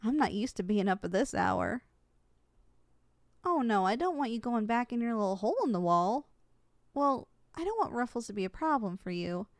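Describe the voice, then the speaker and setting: Girl doing a sweet caring giantess for asmr roleplay, highish voice, super dry close sound. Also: no room sound. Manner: gentle, affectionate, conversational, folksy endearments. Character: kind, caring giantess homeowner, young country-dwelling woman. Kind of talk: ASMR roleplay, intimate character acting.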